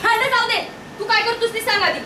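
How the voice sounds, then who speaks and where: A woman playing a bossy, sharp-tongued rustic elder sister in a stage play. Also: very high-pitched, fairly even intonation, fast. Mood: suspicious. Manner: sharp-tongued, interrogating, teasing. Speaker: stage actor. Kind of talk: amateur one-act stage drama in rustic dialect. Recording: noisy echoey stage, picked up from far off.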